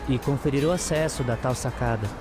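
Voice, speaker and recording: A thoughtful, cautious male sidekick played by a man speaks in a Brazilian accent at a middling pitch. He is inquisitive and deliberate, as in a detective audio drama. Music and city sounds are clearly heard behind him. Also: natural voice. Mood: curious, suspicious. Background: music, urban street ambience with vehicles.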